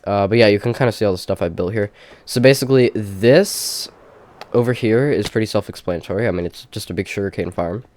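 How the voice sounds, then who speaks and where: A young man with a slightly low voice talks into a desk mic, his nose audibly stuffed up. He rambles casually through a tour of his game world, leaning on fillers and restarts. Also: a little fast, a little mellow. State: stuffy, congested. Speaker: gaming channel host. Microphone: desk mic with face cam.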